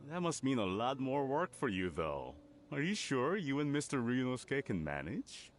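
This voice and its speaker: A man's voice: medium pitch, wildly swooping pitch, moderate pace, slight background noise. An actor playing an easygoing, caring village family man in a video game. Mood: friendly, concerned. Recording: music behind the voice.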